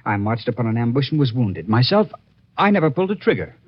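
An actor playing a timid, earnest young colonial lover and former soldier in an old radio drama, hesitant and dramatic. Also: male voice, mid-range voice, sing-song, fast talker, natural voice. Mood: reluctant, pained. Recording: dull lo-fi old radio, crowd-like noise.

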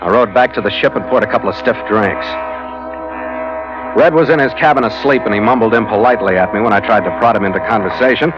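Old radio sound, muffled, with music behind. An actor plays a cynical sea captain with a low voice, telling his tale in a wry, hard-boiled way. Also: fast, close to an everyday voice. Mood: wry narration. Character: cynical, world-weary sea captain narrating his adventures. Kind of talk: old-time radio drama narration and dialogue.

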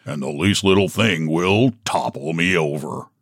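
Guy playing a grumpy donkey in a kids story, low voice, blunt and complaining. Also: measured pace, no room sound, studio quality. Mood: grumbling. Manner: grumbling, blunt. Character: angry, grumbling, clumsy male donkey.

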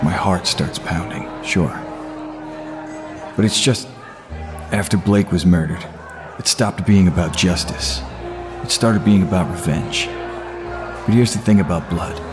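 A man's voice: low voice, slow, long pauses. An actor playing a brooding, haunted retired male vigilante in an audio drama, grave and confiding. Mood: haunted, confessional. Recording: music behind the voice.

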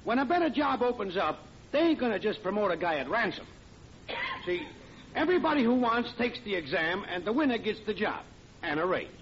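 A man's voice: high voice, sing-song, brisk, fairly bright tone, heavily acted. A man playing a smug American factory worker who needles his pal and mangles big words, in 1940s radio comedy style. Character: needling working-class factory co-worker, smug and self-improving. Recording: noisy, dull vintage radio broadcast.

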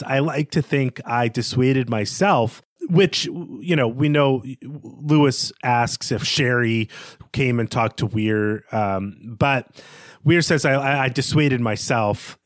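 A man's voice: medium pitch, no room sound. Male podcast co-host, mid-range voice, conversational literary analysis with looping asides, dry close sound. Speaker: co-host of a book discussion podcast.

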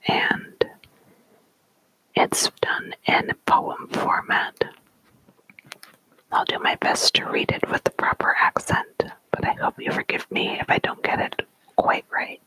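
A storyteller reading tales aloud in a soft, deliberate whisper, in an ASMR style. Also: poor audio. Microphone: close mic.

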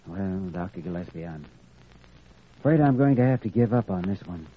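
An actor playing an earnest, caring young doctor in a 1940s radio drama, with a measured, persuasive manner. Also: male voice, sits low, expressive. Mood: resigned. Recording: muffled, noisy old radio recording.

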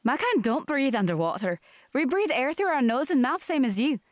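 An earnest female selkie elder in a fantasy audio drama. Her voice is a little high and she explains things formally and patiently, with no room echo. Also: big pitch swings, normal speed, clean studio sound.

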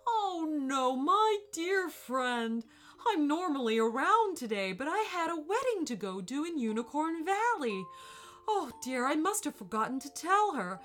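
A woman voicing a kind, helpful unicorn in a storybook reading, with a warm, fussy, motherly manner. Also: high-pitched, sing-song, a little slow, faint music behind, pitched above the performer's own voice, full character voice. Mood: concerned.

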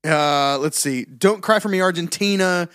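A man co-hosting a casual music podcast, bluntly dismissive and halting, with frequent restarts. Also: sits high, fairly bright tone, clear, resonant tone, no echo, studio quality. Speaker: podcast co-host. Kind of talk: casual opinionated music chat.

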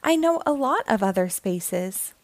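A woman's voice: mid-range voice, very animated, unhurried, no room sound. A woman voicing a helpful little female swallow in a children's storybook, encouraging and instructive. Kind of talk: children's storybook read-aloud.

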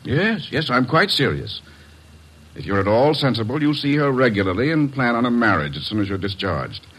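An actor playing a smug, manipulative middle-aged doctor in a 1940s radio drama, speaking precisely and condescendingly. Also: male voice, mid-range voice, sing-song, moderate pace, fairly bright tone.

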